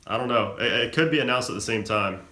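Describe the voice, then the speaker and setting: A man's voice: on the low side, quick pace, bright, forceful tone, roomy sound. A young man from the American South, hosting a live stream and reading viewer questions aloud before answering them offhand. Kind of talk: casual live Q&A chat.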